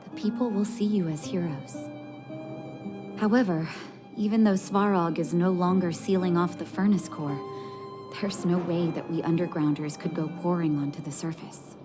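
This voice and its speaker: An actress plays a composed young woman who leads an underground resistance in a video game. Her voice sits on the low side, measured, gracious and earnest. Music plays clearly behind her. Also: steady tone, close to an everyday voice. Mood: grave, resolute. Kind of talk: video game cutscene dialogue.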